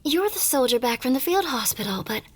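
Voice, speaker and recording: Woman acting a soft, high-voiced wartime nurse sweetheart. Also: sing-song, a little fast, full character voice. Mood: cautious, questioning. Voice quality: soft, breathy, often dropping to a whisper. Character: tender, wistful young woman, wartime army nurse. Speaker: voice actor in a romance audio roleplay. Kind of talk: ASMR romance roleplay acting. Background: music.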